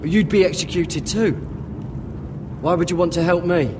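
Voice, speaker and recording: A man's voice: sits high, normal speed, noisy background, lightly acted. A man playing a determined, wary young prisoner in a dramatic video game, terse and urgent. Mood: tense. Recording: music behind the voice.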